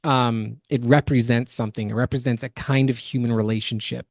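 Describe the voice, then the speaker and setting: Man, opinionated commentator, emphatic, analytical, medium pitch, dry room. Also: steady tone, a little fast, a little mellow, no echo. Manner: analytical, emphatic, builds word lists. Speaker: podcast co-host.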